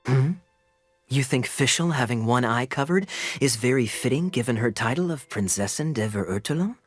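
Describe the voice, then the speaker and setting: A sly young rogue from a fantasy game played by a man, teasing in a swooping, animated mid-pitched voice that is slightly husky. Faint music runs underneath. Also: moderate pace, no room sound, close to an everyday voice. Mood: amused, teasing. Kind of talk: video game character acting.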